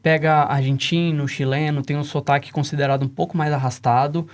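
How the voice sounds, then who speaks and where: A man's voice: on the high side, steady tone, a little fast. A man with a Brazilian accent, explaining emphatically as a guest on a casual panel talk. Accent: Brazilian Portuguese. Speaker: panelist, English teaching founder.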